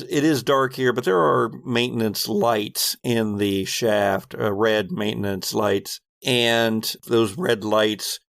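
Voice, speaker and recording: A man running a horror tabletop game as game master, narrating in a deliberate, descriptive way with pauses mid-sentence. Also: fairly low pitch, clean tone, no room sound, studio quality.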